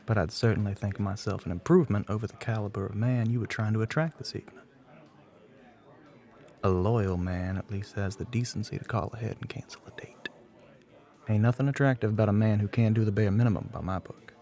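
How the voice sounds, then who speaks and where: Man acting a gravelly, flirty Southern US bartender. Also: on the low side, fairly even intonation, fairly quick, warm tone. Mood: smug, reassuring. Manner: drawling, smooth-talking, pet names, often breathy near-whisper. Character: smug, flirty, confident middle-aged Southern male bartender. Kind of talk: intimate ASMR roleplay.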